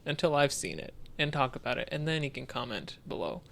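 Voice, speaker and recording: A man's voice: medium pitch, sing-song, a little fast, vocal fry, a bit bright. A man co-hosting a video game podcast in a casual, conversational way. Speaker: podcast co-host. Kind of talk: casual podcast chat about video games.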